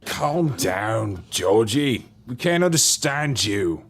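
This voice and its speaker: An actor playing a calmly authoritative man of the house with a husky voice, in an audio drama. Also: lowish voice, slow pace, heavily acted. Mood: commanding.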